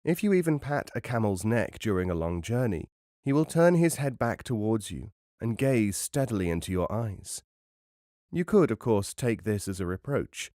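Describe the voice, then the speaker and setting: Low-voiced man, dry close audiobook narration. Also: sing-song, moderate pace, warm tone, dry recording. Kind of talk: scripted narration of a travel memoir.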